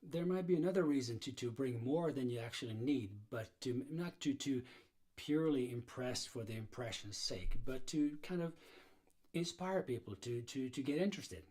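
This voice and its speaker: A man's voice: medium pitch, animated, moderate pace, darkish tone. A middle-aged man from Serbia, hosting a blues guitar livestream in a casual, rambling way with many fillers and restarts.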